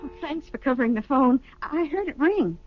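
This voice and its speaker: An actress playing a chatty, eager, slightly scatterbrained office secretary in a 1970s radio drama. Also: female voice, high voice, lively intonation, moderate pace, a little hiss or hum. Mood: chatty, helpful. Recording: dull lo-fi old radio recording.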